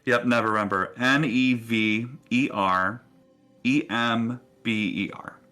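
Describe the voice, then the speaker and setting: A man's voice: mid-range voice, fairly even intonation, takes it slowly, bright, forceful tone, faint music behind. A man narrating a tabletop roleplaying game in a casual way as the game master.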